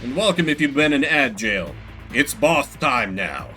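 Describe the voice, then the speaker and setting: A man's voice: mid-range voice, very animated, measured pace, sharp tone. A man streaming a video game, giving casual, rambling commentary with jokey offhand asides. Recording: game music in the background. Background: game music.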